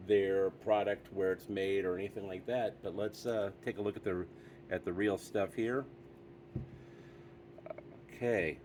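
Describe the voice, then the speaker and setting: A man's voice: on the low side, slight background noise. A man hosting a casual product review, talking in an easygoing, chatty way with frequent fillers.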